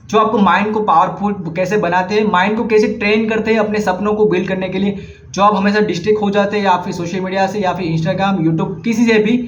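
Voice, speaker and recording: A man's voice: high-pitched, slight background noise. A young man from Gujarat with a Gujarati accent, whose first language is Gujarati, casually recommending books in a vlog, hesitant and earnest, often restarting his sentences. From Gujarat, India. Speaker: vlogger. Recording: echoey, slightly muffled room recording.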